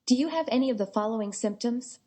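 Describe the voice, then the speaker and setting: A woman's voice reading screening questions as an automated app prompt, in an even, neutral manner. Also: a little high, quick pace.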